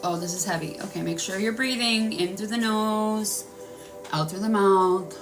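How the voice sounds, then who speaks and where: A woman's voice: mid-range voice, normal speed, distant mic, low-quality recording. A woman hosting a live-streamed healing session, talking in an earnest, rambling way and guiding listeners through their breathing. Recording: voice a bit off-mic with music playing.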